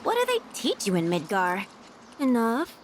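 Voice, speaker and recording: A woman's voice: fairly high pitch, huge pitch swings, moderate pace. A woman voicing an easygoing young woman adventurer in a video game, casual and teasing. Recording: clear background noise.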